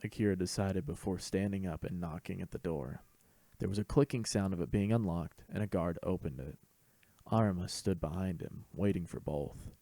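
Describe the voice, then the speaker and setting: A man's voice: low voice, normal speed, mellow, no room sound. A man reading scripted fan fiction as the narrator of an audio story.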